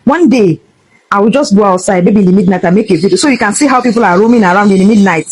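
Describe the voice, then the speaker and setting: Fast-talking Nigerian woman ranting. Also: mid-range voice, darkish tone, breathy edge, poor audio. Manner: emphatic, indignant, switching between English and Pidgin. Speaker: social media vlogger. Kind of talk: impassioned rant to camera.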